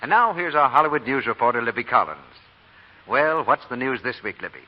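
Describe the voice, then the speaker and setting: A man's voice: medium pitch, very animated, a little fast. A polished American man announcing and trading scripted banter in theatrical 1940s radio style. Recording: muffled 1940 radio broadcast, faint hiss.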